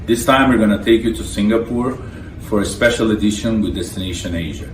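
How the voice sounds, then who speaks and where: A man's voice: lowish voice, moderate pace, reverberant, recorded from a distance, a little hiss or hum. A man hosting the show and giving a friendly, upbeat welcome. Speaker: host. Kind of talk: show introduction.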